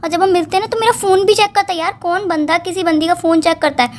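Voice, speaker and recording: Woman acting a very high-pitched, exasperated young girlfriend. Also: fairly even intonation, fast. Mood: exasperated, complaining. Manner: pleading, insistent, defensive. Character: exasperated young girlfriend pleading to be heard and believed. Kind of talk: acted romantic phone-call drama in casual colloquial speech.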